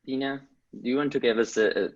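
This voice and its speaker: A man's voice: medium pitch, fast talker, dull audio. A man moderating a webinar panel, passing brief questions to the speakers.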